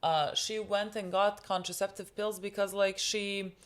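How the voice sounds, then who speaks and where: A woman's voice: mid-range voice, flat delivery, a little slow. A young woman whose first language is Serbian, co-hosting a TV reaction and chatting casually with many fillers and restarts. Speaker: reaction video co-host. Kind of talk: casual chat.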